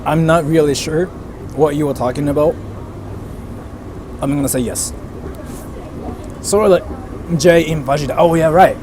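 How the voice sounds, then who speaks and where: A young man with a slightly high voice talks through a casual outdoor live stream, rambling from topic to topic and reading out viewer comments. Faint traffic and street noise run underneath his voice. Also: a little slow, long pauses. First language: bilingual English and Japanese.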